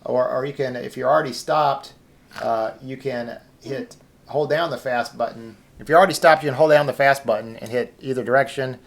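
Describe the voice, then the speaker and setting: A man's voice: mid-range voice, fairly even intonation, brisk, vocal fry. A man hosting a video, giving a casual, informal and somewhat hesitant unscripted demonstration as he talks. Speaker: video host.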